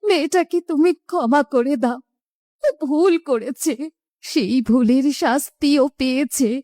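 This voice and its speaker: A woman's voice: high-pitched, unhurried, dark tone, no room sound, clean studio sound. An actress playing a middle-aged mother and wife, lamenting emotionally in a horror cartoon story. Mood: pleading.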